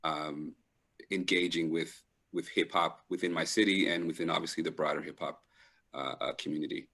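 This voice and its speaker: A middle-aged man speaking reflectively as an interview guest, pausing often to find his words. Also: a bass voice, fairly even intonation, bright, forceful tone. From Trinidad and Toronto, Canada. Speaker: panel guest. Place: live online video call.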